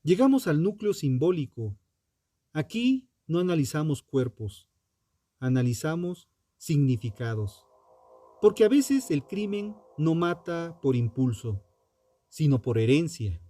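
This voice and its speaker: A man narrates a scripted true crime piece in a solemn, dramatic and deliberate manner. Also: fairly high pitch, very animated, dark tone, no room sound. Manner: solemn, dramatic, deliberate phrasing with rhetorical questions. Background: music.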